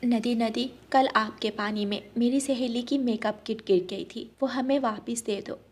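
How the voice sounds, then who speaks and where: Woman as a sensible, softer-spoken bird friend. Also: a little high, steady tone, unhurried. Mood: reassuring.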